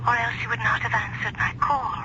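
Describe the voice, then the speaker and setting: A woman's voice: a little high, lightly acted. An actress playing Rael, a hypnotic mystery woman. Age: young adult. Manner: calm, insistent, slow and hypnotic. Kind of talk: 1970s radio drama acting. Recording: dull, hissy old radio recording.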